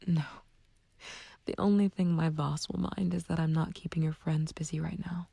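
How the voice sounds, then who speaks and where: A woman playing a flirtatious, taunting villain in an ASMR roleplay, speaking softly and breathily in a teasing, coaxing, languid way. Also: deep voice, steady tone, moderate pace, no echo, heavily acted. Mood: intimate, playful. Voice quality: breathy, whispery, soft. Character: flirtatious, taunting female villain sweet on a captive friend. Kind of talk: ASMR roleplay, intimate scripted acting.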